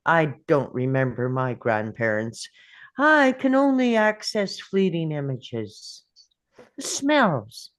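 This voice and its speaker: An actor at a script table read playing an elderly grandparent, reflective and tender, musing in halting phrases. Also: female voice, low voice, very animated, exaggerated voice. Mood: wistful, remembering.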